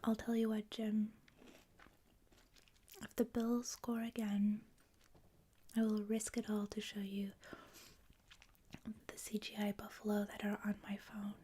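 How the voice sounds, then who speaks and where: A woman with a Canadian accent, a native French speaker, hosting a livestream in a hushed, whispery ASMR style, chatting casually with viewers. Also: mid-range voice, monotone, measured pace, long pauses, slight background noise. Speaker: livestream host. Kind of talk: soft-spoken ASMR, casual chat with viewers.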